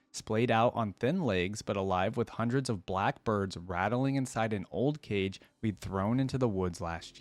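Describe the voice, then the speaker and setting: A man reading as a terrified village militiaman, a lone survivor, in halting, dramatic short phrases. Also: fairly low pitch, no room sound.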